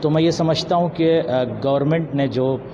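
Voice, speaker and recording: A man answering an interview in a measured, formal, preaching manner, pausing and mixing in English words. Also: fairly high pitch, steady tone, highs cut off. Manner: measured, formal Urdu-laced diction with pauses and some English words. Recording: noisy outdoor urban street recording with traffic. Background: loud street ambience and vehicles.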